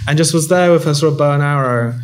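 A man's voice: on the high side, quick pace, heavy background noise. Dry comic storytelling man. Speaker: podcast co-host. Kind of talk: live panel anecdote telling.

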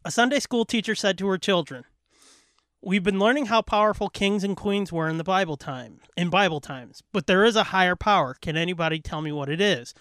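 High-voiced, crude male podcaster. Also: fairly quick, a bit bright, no echo, studio quality. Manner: crude, swears freely. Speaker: podcast co-host. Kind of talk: casual, profane podcast banter and joke reading.

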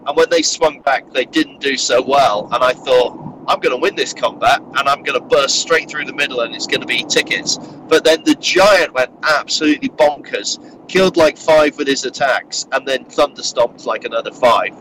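A man's voice: mid-range voice, moderate pace, noisy background. A man with a head cold, sounding nasal, chatting casually and rambling through filler-heavy restarts while he drives. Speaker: podcast co-host recounting a wargaming tournament. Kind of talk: casual chat. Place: in a moving car.

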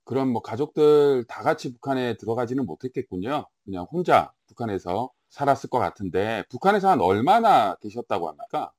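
A man's voice: mid-range voice, very animated, fairly quick, hardly any pauses, darkish tone, dry recording, studio quality. A man hosting a news interview, posing questions in a polite, formal style. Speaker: news show host.

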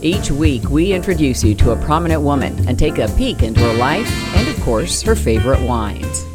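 Woman doing a podcast intro read, lively pitch swings, big music underneath. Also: normal speed. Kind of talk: scripted promotional narration. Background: loud intro music.

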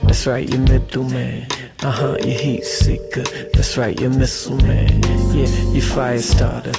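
Man, gravelly, even pitch, seductive spoken lyrics, rhythmic, loud music behind. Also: unhurried. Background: instrumental music track.

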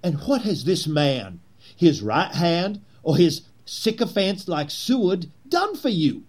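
An actor playing a zealous, persuasive Confederate conspirator in a historical drama, with a husky voice and theatrical, oratorical delivery. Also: male voice, high-pitched, very animated, slow pace, a little mellow, full character voice. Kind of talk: period historical drama acting.

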